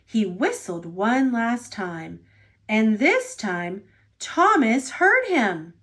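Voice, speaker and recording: A woman reads a children's storybook aloud in an expressive, dramatic style, phrasing deliberately for young listeners. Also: fairly high pitch. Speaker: storybook reader.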